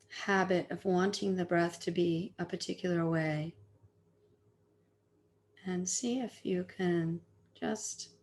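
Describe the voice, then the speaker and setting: A woman leads a guided meditation in a fairly low voice. She speaks very slowly and gently, leaving long pauses between phrases. Her delivery is soothing, like a teacher giving soft instructions. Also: vocal fry, a little hiss or hum.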